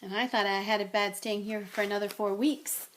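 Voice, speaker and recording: A woman voicing a polite, nervous young boy wizard who keeps his voice hushed. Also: medium pitch, steady tone, a little fast, slight background noise, lightly acted. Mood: urgent.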